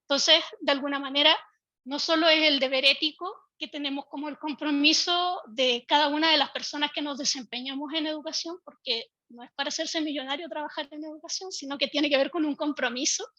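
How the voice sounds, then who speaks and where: Woman, high pitch, bright tone, measured and explanatory, dry, dull audio. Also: no echo. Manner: measured, explanatory, occasional restarts. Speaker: speaker from a public early-education institution. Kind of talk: online panel talk.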